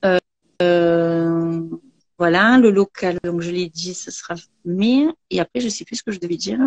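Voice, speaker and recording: A woman's voice: fairly low pitch, a little slow, darkish tone. A woman with a southern French accent, a massage trainer talking casually in an interview, with many fillers and restarts. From southern France.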